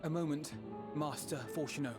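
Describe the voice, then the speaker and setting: A man playing an earnest, courteous young scholar-hero in a fantasy game, speaking in measured formal phrases. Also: fairly high pitch, close to an everyday voice. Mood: polite, persuasive. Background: orchestral game music.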